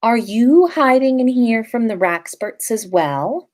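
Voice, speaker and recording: Woman as a serene, airy young witch girl, high-pitched. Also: lively intonation, takes it slowly, a little mellow. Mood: curious. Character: odd, serene, dreamy younger witch schoolgirl. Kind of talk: storybook character voice.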